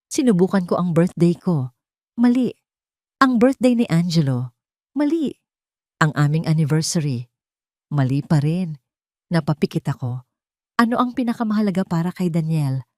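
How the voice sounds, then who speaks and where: A woman with a Filipino accent narrating a dramatic first-person story in an emotive, deliberate way. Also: on the low side, sing-song, warm tone, dry recording, clean studio sound.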